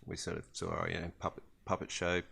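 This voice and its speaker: A man's voice: low-pitched, monotone, fast talker, vocal fry, pushed and bright, breathy edge. A man, around his thirties or forties, co-hosting a podcast in casual chat, hesitant and understated. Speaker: podcast co-host.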